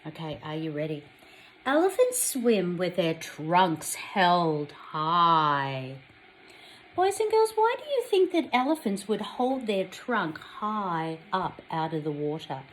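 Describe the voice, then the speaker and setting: Slow, expressive Australian woman reading to children. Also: on the low side, slight background noise, rough recording. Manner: addresses young children directly, expressive storytelling. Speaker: teacher reading a picture book aloud to children. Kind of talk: children's read-aloud with questions to listeners.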